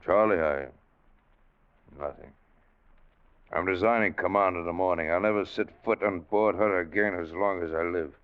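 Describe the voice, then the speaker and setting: Actor as a deep, bitter sea captain. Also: male voice, normal speed, pauses often, smooth, close to an everyday voice. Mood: heavy, resigned. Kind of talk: old-time radio drama acting. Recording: thin, phone-like vintage radio recording.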